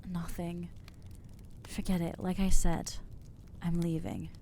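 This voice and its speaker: Woman doing a nervous vampire girl for asmr, mellow and slow, stammers and rambles, keeps putting herself down. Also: on the low side, level tone, a little hiss or hum. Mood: anxious. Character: anxious young female vampire, awkward and rambling. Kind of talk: ASMR audio roleplay.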